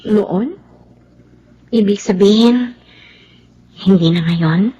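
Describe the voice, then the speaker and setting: Radio drama role: devoted, tender Filipina in love, unhurried heartfelt delivery, lo-fi sound. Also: female voice, mid-range voice, warm tone, breathy edge. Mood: hopeful. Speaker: drama actress. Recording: dull, noisy lo-fi audio.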